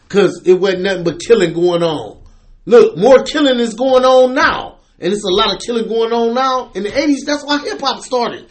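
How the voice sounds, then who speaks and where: A man in his late forties from the US Midwest, co-hosting a podcast and telling blunt, preachy stories with plenty of profanity. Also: high-pitched. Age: 40s. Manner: forceful, preachy storytelling, profane. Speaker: podcast co-host. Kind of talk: casual debate and storytelling.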